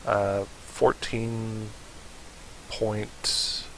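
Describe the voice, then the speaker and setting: A man narrating an unscripted software tutorial in an informal, hesitant way, as if thinking aloud. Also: on the low side, little pitch movement, very slow, poor audio. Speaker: tutorial presenter. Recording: hissy home voice-over recording.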